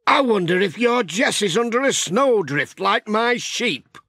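A dogged hill farmer, played by a male narrator, plain-spoken and a bit weary. The voice is pitched up high and swings about, in a children's audiobook. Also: lively intonation, a little slow, bright, forceful tone, slightly rough, dry recording, studio quality, exaggerated voice. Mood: plain, worried.

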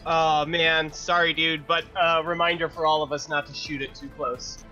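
A man's voice: on the high side, level tone, moderate pace, pushed and bright, highs cut off, soft background music. A man chatting casually as a player in a tabletop roleplaying game.

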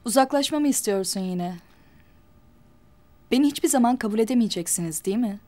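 A woman's voice: fairly high pitch, lively intonation, fairly quick, warm tone, close to an everyday voice. A young actress playing a proud, wary half-sister who answers her brother in a cool, slightly sarcastic way. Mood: guarded. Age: young adult. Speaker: newly recognized daughter of a rich family. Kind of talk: television drama acting.

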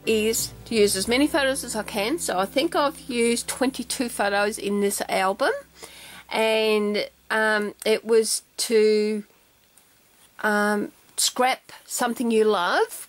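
Aussie lady rambling about her scrapbook at the craft table, mid-pitch, faint music under. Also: measured pace, rough recording. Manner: chatty, rambling, lots of ums and checking "okay?". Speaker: craft vlogger. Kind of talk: casual show-and-tell craft video. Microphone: camera mic at a tabletop, hands only in shot.

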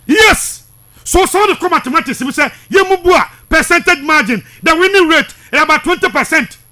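A Ghanaian man hosting a sports radio show in a strikingly high, sharp voice. His delivery swings up and down in an animated way as he hammers points home, repeating them insistently. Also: normal speed. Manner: emphatic, insistent, repetitive. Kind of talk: heated sports commentary, switching between languages. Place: small radio studio. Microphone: studio microphone close up.

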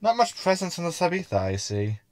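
A man's voice: high voice, sing-song, a little fast, a little mellow, dry recording. An actor playing a cheeky, cynical, sarcastic crewman in a sci-fi comedy audio drama.

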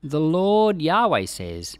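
A man's voice: on the high side, huge pitch swings, slow, dry recording. A man in his forties giving informal, explanatory Bible teaching, conversational but emphatic on key words, close to a desk microphone. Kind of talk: explanatory Bible teaching, reading scripture aloud. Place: small indoor study with bookshelves. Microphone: close desk microphone on boom arm.

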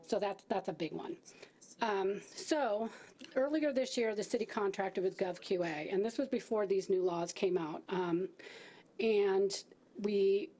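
A woman's voice: medium pitch, a little slow, dark tone. A middle-aged woman at a podium giving a staff briefing to a city council, talking conversationally with fillers and restarts. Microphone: podium microphone.

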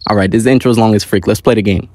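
A man's voice: on the low side, very fast, background noise. A man giving casual, slangy gameplay commentary as a gaming video host. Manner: animated, slangy reactions.

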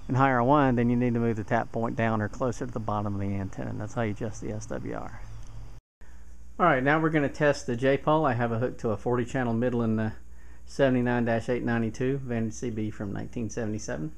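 A man's voice: medium pitch, steady tone, fast talker, vocal fry. A man giving an informal hands-on tutorial as a radio hobbyist, recorded indoors at a home bench. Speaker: hobbyist demonstrating CB radio gear. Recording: noticeable background hiss and radio static.

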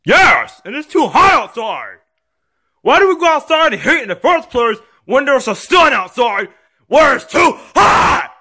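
Guy doing a whiny bratty kid, super high n loud, swings all over, always yelling. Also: huge pitch swings, moderate pace, heavily acted. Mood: whiny, upset. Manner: whiny, defiant, yelling outbursts. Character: bratty screaming young boy, rude and whiny.

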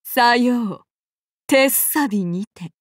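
Casting: anime game warrior demigoddess, high-pitched, extremely unhurried, archaic courtesy over menace. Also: female voice, animated, no echo, clean studio sound. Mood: cold, lethal. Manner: archaic formal polite speech, slow and deliberate. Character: soft-spoken, courteous, menacing female avenger demigod warrior. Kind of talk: anime mobile game voice lines.

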